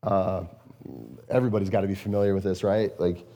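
A man with a low, warm voice gives a casual, earnest sermon as a pastor. He restarts phrases and leans on fillers, and his voice sounds a little strained and hoarse. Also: level tone, a little fast. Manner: earnest, repeats words and phrases, frequent fillers. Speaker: lead pastor. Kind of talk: conversational sermon.

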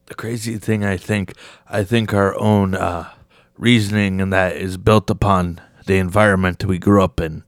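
A hoarse man co-hosting a casual podcast, talking haltingly with fillers. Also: low voice, fairly even intonation, no echo, clean studio sound. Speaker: podcast co-host. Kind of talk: casual chat.

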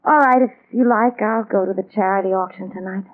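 An actress playing an earnest, wistful but determined wife in a 1940s radio mystery, gentle yet insistent. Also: female voice, medium pitch, a little fast, heavy background noise, close to an everyday voice. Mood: subdued. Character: trusting, wistful but determined wife missing her mother. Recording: tinny band-limited 1940s radio recording.

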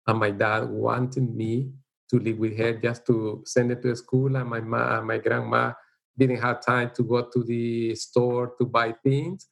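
A middle-aged man from El Salvador with a Salvadoran Spanish accent, speaking English as a second language in a casual interview, halting and unhurried, with frequent fillers. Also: medium pitch, little pitch movement, vocal fry. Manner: unhurried, halting, with frequent "you know" and light laughs. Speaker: interviewee, teacher. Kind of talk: casual life-story interview.

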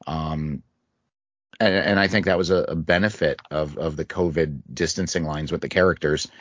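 Deep-voiced man in casual chat. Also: moderate pace, no room sound, highs cut off. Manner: relaxed storytelling, acts out scenes. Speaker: podcast co-host.

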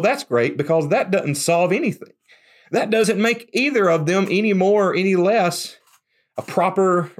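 A man preaching a conversational, emphatic sermon, often repeating words as he starts a thought. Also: a little high. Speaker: pastor.

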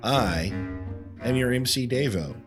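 A man's voice: mid-range voice, animated, unhurried, soft background music. A man with a cold, sounding stuffed up, hosting a trivia podcast in a jokey, hammy way. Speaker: podcast host. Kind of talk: playful casual chat.

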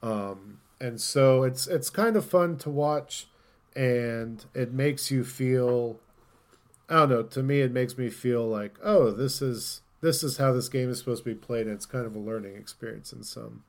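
A man hosting a casual gaming podcast, talking in an opinionated way and thinking out loud as he goes. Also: mid-range voice, a little slow. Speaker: podcast host.

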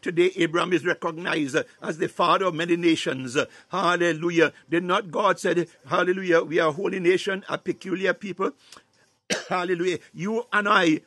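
A man's voice: sits high, sharp tone, husky, no room sound. A man preaching a fervent charismatic sermon and prayer, breaking his phrases with repeated exclamations.

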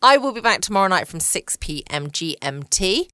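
A woman, soft and relaxed, closing her bedtime podcast from home. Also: lowish voice, normal speed, no echo, clean studio sound. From England. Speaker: podcast host. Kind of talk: gentle bedtime podcast outro.

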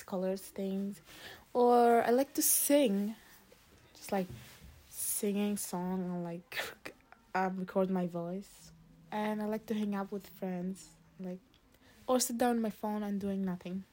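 A teenage girl from Lebanon, a high school student answering interview questions in a casual, hesitant way with many fillers and restarts. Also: slow pace, mellow. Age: teens.

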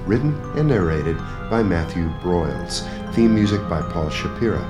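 A man's voice: low voice, animated, normal speed. A man reading scripted closing credits as a radio drama announcer. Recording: echoey, music behind. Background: guitar theme music.

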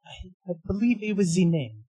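A man voicing a deadpan, understated adventurer in a tabletop game. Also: sits high, normal speed, no echo. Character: dry, understated male adventurer. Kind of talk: in-character roleplay.

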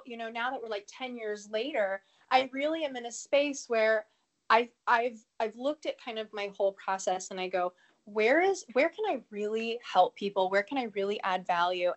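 A woman in her thirties with a cold, speaking as a podcast guest in an earnest, talkative way, with frequent fillers and quick self-conscious laughs. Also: fairly high pitch. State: has a cold, a bit sniffly. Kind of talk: conversational interview answer.

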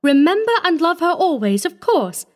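A woman's voice: very high voice, big pitch swings, normal speed, pitched above the performer's own voice. A woman voicing an anxious, commanding stepmother, dramatic and emphatic, in a story reading. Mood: sharp, commanding.

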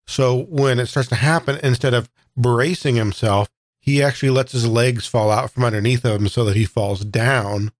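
A man's voice: mid-range voice, dry recording, studio quality. A man taking part as a player in a tabletop game, casually explaining what his character does.

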